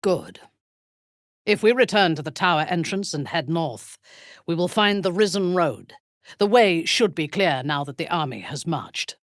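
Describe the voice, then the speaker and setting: A ruthless female dark-elf warrior for a fantasy video game. Her voice is low and breathy, swinging widely in pitch as she gives formal, imperious speeches in a dry, close recording. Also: lively intonation, no echo. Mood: stern, commanding. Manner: imperious, measured, menacing, formal diction. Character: ruthless, commanding female dark-elf warrior priestess, coldly devoted lover. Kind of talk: video game character dialogue.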